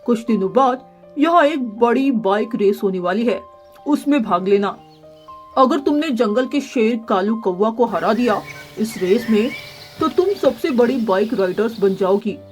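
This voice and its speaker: Casting: woman as male pigeon buddy, high-pitched, friendly and helpful, soft music behind. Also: expressive, measured pace. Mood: encouraging.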